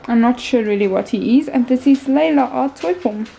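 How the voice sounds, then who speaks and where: Girl in her 20s vlogging, highish voice, lots of vocal fry, music playing. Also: normal speed, mellow, poor audio. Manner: informal, addressing viewers directly. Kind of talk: casual vlog chat and recipe voice-over.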